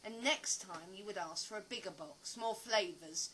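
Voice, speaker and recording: A woman's voice: medium pitch, normal speed, pushed and bright, a little hiss or hum, natural voice, poor audio. An actress playing an earnest, philosophical woman survivor in an audio play, with reflective, dramatic phrasing. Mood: earnest, intense.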